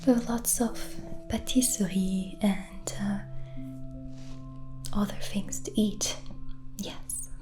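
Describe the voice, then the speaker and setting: A woman whispering in a hushed, gently hesitant way, playing a hospitable witch in an ASMR roleplay. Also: mid-range voice. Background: background music.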